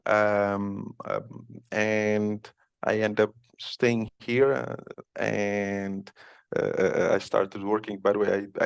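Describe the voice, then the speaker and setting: Man from Cape Verde, podcast guest, hesitant with many fillers, gravelly low voice, dry sound. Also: no room sound. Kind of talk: casual interview.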